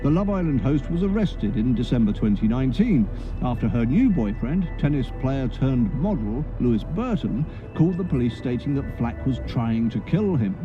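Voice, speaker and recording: Animated male news-style narrator over music. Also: medium pitch, normal speed, lo-fi sound. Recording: clear music bed under voice.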